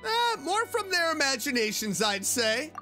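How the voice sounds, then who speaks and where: A woman's voice: sits high, big pitch swings, moderate pace, bright, forceful tone, faint music behind. A woman streaming a game with casual, blunt and sceptical reactions. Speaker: streamer playing and reading along.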